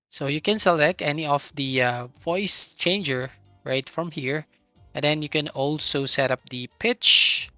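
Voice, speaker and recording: A tutorial host giving step-by-step software instructions in an instructional manner, with a voice recorded close to the microphone. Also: medium pitch, clean tone, dry recording.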